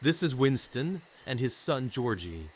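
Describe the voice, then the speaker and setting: A man's voice: medium pitch, big pitch swings, unhurried, a little hiss or hum. A man playing an earnest fugitive leader in an audio drama, speaking seriously and formally. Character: earnest male fugitive leader, keeper of the word.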